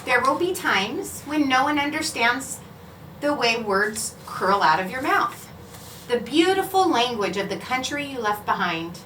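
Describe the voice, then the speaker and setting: Woman doing kids story read-aloud, expressive, bright higher voice, echoey room. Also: a little slow. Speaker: education director reading a picture book aloud. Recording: roomy, echoing, distant mic, faint noise.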